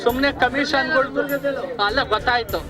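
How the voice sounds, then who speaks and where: High-voiced man grumbling amid street crowd. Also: normal speed, music in the background. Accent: southern Karnataka Kannada. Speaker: local resident.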